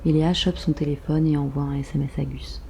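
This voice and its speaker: A woman narrating a scripted audio drama in a deliberate, wry storytelling manner. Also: low-pitched, little pitch movement, vocal fry, dark tone, a little hiss or hum.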